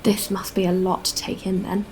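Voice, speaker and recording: A woman's voice: medium pitch, flat delivery, normal speed, slight background noise, close to an everyday voice, rough recording. A woman playing a candid, friendly spacefarer in a sci-fi audio drama, talking in a casual, conversational way. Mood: friendly, curious. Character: candid, weary but friendly female spacefarer.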